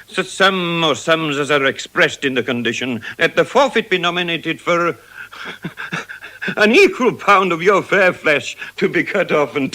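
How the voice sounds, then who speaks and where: An actor playing a sardonic, calculating moneylender in a theatrical, deliberate Shakespearean drama style. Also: male voice, on the high side, pushed and bright, exaggerated voice. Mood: sly mock-merry. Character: Shylock, sardonic calculating male moneylender of Venice. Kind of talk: classical Shakespearean stage acting in audio drama.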